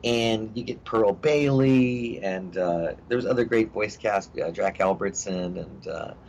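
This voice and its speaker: A middle-aged actor talking in a casual interview, rambling with many fillers and restarts. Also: male voice, fairly low pitch, unhurried, gravelly, a little mellow. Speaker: actor guest. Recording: dull lo-fi audio with faint hiss.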